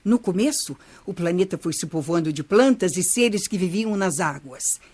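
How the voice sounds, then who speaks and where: An actress playing a kindly older Brazilian grandmother who teaches through stories in a children's radio drama, measured and expressive. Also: lowish voice. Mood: patient, instructive. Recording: music bed under voice.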